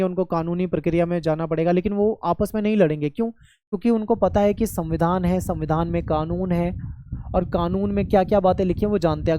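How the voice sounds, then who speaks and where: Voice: medium pitch, warm tone, no room sound. A teacher giving a patient classroom lecture on a textbook chapter, explaining step by step, checking in often and mixing English terms into the explanation. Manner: patient, repetitive explaining with frequent "okay" check-ins.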